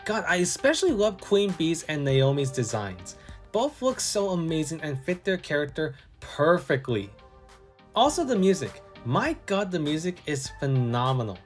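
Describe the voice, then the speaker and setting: A man's voice: a little high, big pitch swings, moderate pace. A man narrating a scripted video game review in an enthusiastic way. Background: background music.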